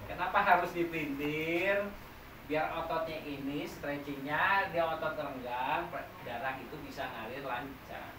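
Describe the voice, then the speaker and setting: A man's voice: a little high, unhurried. A man, teaching a massage therapy training class and quizzing the students as he explains step by step. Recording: echoey room, noisy, mic far off.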